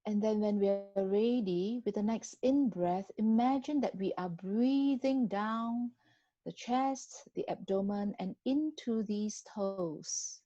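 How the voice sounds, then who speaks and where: A woman guiding a body scan meditation in a gentle, unhurried manner with long pauses between phrases. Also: medium pitch, no echo, muffled recording. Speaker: meditation guide.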